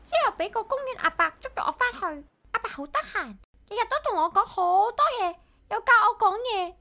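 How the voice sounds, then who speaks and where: A woman playing a chatty, bossy, proud talking parrot in a radio drama, with a shrill, squawky voice and a blunt, childlike manner. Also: expressive, fairly quick, creaky, sharp tone, heavily acted. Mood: matter-of-fact. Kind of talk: Cantonese radio drama acting.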